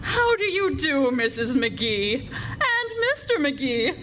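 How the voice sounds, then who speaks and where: A woman's voice: sits high, big pitch swings, slow pace, a bit bright, exaggerated voice, low-quality recording. A woman acting a haughty, flustered society matron in an old-time radio comedy, with affected, grandly indignant speech. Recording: live stage recording, faint background noise.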